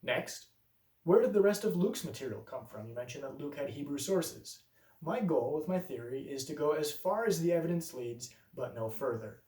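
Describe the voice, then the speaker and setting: A man who preaches, presenting a scripted explanatory video essay and reasoning step by step through objections in a measured way. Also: a little high, animated, a little mellow, distant mic. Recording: roomy echo, voice a bit off-mic.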